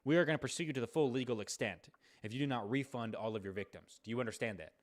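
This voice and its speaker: A man's voice: mid-range voice, fast talker, lightly acted. An American man playing a stern, bullying adult who officiously threatens a kid with a lawsuit in a prank call. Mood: indignant, threatening. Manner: officious, pressing, repetitive.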